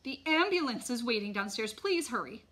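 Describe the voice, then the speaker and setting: A woman's voice: on the high side, expressive, normal speed, fairly bright tone, voice pushed up. A woman voicing a frantic, tearful mother in a storybook, wailing and pleading. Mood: urgent pleading.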